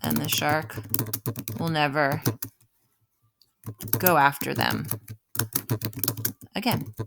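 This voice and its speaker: A woman's voice: low voice, extremely slow, vocal fry, background noise. A woman teaching an informal writing lesson step by step, thinking aloud and often checking in with the listener. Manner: explanatory, often checks in with "right?". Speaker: elementary school writing teacher.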